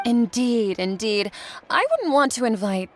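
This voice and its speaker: A woman's voice: fairly high pitch, big pitch swings, unhurried, a little hiss or hum. A woman playing a prim, gossipy society wife in a video game, with an affected manner. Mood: prim, self-satisfied.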